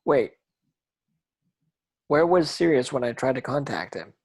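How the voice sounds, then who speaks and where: A man's voice: medium pitch, lively intonation, measured pace, a little mellow, husky. A man playing a troubled, distrustful teenage boy wizard in a script read-through, in a plain, questioning manner.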